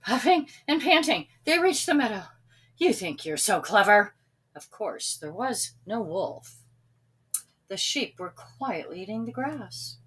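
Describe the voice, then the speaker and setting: A woman reads a children's story aloud. Her voice is fairly high and very animated, moving at a slow pace. She addresses her young listeners directly between expressive passages. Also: slightly rough. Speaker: storybook reader.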